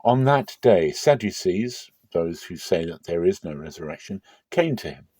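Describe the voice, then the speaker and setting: Male Bible reader, lower-pitched and mellow, expressive and measured, very dry close sound. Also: no echo. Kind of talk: dramatic scripture reading.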